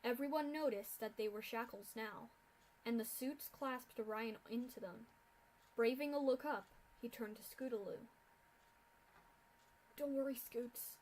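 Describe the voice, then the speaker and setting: A woman reading a fan fiction story aloud in an earnest, steady storytelling manner. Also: fairly high pitch, normal speed, a little hiss or hum, poor audio. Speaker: fanfiction reader.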